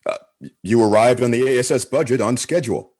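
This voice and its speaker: An actor playing a polite, diplomatic and slightly hesitant space station captain in a comedy radio play. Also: male voice, medium pitch, quick pace, darkish tone, close to an everyday voice. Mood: explaining.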